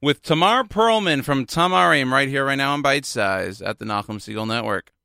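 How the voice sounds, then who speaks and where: A man radio presenter with a gravelly yet smooth, crisp voice, announcing the next segment. The sound is dry and close, with faint music playing behind him. His delivery is bright and polished. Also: medium pitch, normal speed, no echo. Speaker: radio host.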